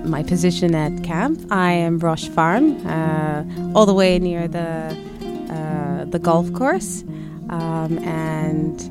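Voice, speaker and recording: A young woman from Zimbabwe, a guest on a camp radio station, answering questions with many fillers and restarts. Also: low-pitched. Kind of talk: radio interview.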